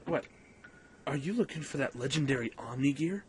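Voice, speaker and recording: Man voicing level-headed young pilot, medium pitch, sceptical, understated, audio drama, light ambient noise. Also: expressive, brisk, lightly acted. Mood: puzzled. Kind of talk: fan audio drama acting.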